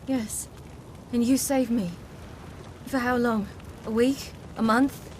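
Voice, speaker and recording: An actress voicing an intense, defiant young woman hero in a video game, halting and anguished. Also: fairly high pitch, steady tone, a little mellow, a little hiss or hum. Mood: bitter. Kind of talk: dramatic video game voice acting.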